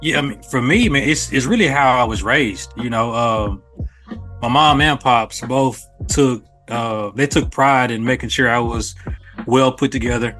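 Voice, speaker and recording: A man from Arkansas with an American accent speaks at a medium pitch. He is a podcast guest, talking in a casual, reflective, conversational way. Music plays faintly behind his voice. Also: unhurried, fairly bright tone. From Little Rock, Arkansas, US.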